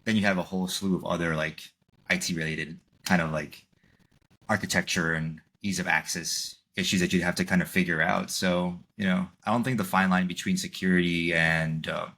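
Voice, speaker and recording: A man's voice: low voice, fairly even intonation, normal speed, pushed and bright. A man co-hosting a podcast, thinking aloud in a loose, rambling way. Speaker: podcast co-host. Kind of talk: casual podcast discussion.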